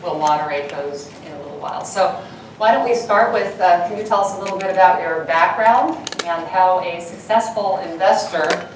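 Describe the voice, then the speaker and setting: A woman's voice: on the low side, normal speed, far from the mic. A woman whose first language is Dutch, introducing and then interviewing a guest before an audience at a university event. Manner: reads the introduction, stumbles and laughs it off. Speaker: host. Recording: echoey hall picked up from afar, noisy.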